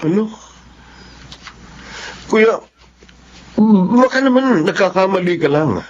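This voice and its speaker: A man's voice: high voice, expressive, slow pace, darkish tone, full character voice. A man playing a hurt, trusting husband and younger brother in an emotive Filipino radio drama, earnest and wounded. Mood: shocked, disbelieving. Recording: dull lo-fi audio, faint noise.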